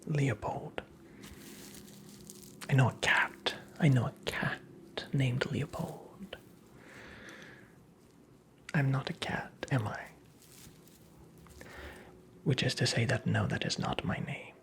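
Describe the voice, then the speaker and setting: A performer playing a creepy, teasing, very old male magical imp in a whispered ASMR roleplay, with breathy, creaky, theatrical delivery. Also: medium pitch. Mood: mysterious, teasing. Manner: slow, theatrical, taunting, toying with the listener.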